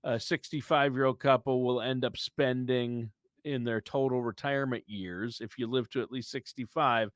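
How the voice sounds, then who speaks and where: A man's voice: medium pitch, steady tone, normal speed, dry recording. Agreeable American man co-hosting, dry close sound. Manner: agreeable, affirming. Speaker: podcast co-host. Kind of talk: casual conversational interviewing.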